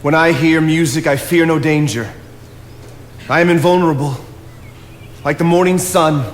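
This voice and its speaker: In a noisy, reverberant theatre, a male stage actor plays a solitary nature philosopher. His higher-than-average male voice is earnest and dreamy, musing in a declamatory way as if to himself. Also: steady tone, normal speed, lightly acted. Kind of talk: theatrical stage play acting.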